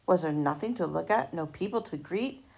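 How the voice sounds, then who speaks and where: Stern storybook father, played by a female reader: measured, doubting, admonishing, with some vocal fry. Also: on the low side, animated, darkish tone, a little hiss or hum, pitched below the performer's own voice. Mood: calm, probing. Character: stern, calm, doubting father of a young child.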